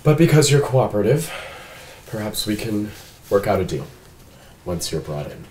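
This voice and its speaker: A man playing a composed android police detective at a script read, formal, measured and precise. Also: lowish voice, very animated, darkish tone, low-quality recording. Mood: stern, formal. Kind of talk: table read of a film script. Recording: some background room noise.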